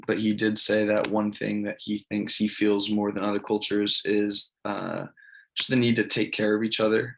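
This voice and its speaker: A man's voice: on the low side, gravelly, a little hiss or hum, rough recording. A man, giving an informal spoken presentation in a plain, matter-of-fact, casual way. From California, United States. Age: early 20s. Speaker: college student presenting an assignment.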